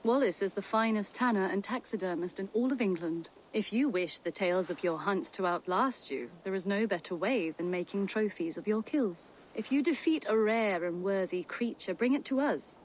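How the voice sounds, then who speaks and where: A woman's voice: mid-range voice, normal speed, few pauses, slight background noise, natural voice, low-quality recording. A woman playing a shrewd, confident hunter and trader in a video game, polite, articulate and businesslike. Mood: assured, businesslike. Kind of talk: video game character acting.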